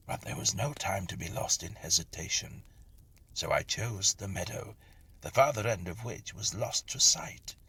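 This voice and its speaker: Male narrator for gothic tales: lowish, breathy, husky voice, animated pitch movement, hushed dramatic storytelling. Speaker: narrator reading a short story aloud. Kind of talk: scripted narration of a Victorian ghost story.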